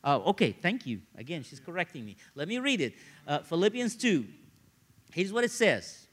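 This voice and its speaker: Animated male preacher, bright high voice. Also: normal speed. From Egypt, grew up in Cairo. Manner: animated, conversational, repeats phrases for emphasis. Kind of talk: sermon with personal storytelling.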